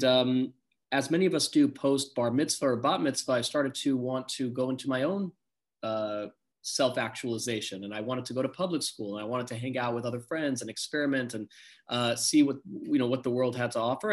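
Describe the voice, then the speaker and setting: A man, a rabbi from South Africa who was raised in San Diego, chats as a podcast guest in a friendly, relaxed way and uses casual fillers. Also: mid-range voice. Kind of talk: conversational interview.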